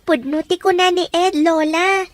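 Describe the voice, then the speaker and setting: A woman's voice: very high voice, fairly even intonation, normal speed, no room sound. A woman voicing a friendly, eager young schoolgirl in an Ilocano audio drama.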